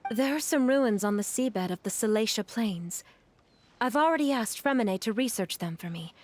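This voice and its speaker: Woman playing a higher-voiced, earnest game mother. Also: animated, normal speed, a little mellow, lightly acted. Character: gentle, resolute, sorrowful mother of an ailing boy. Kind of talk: video game voice acting.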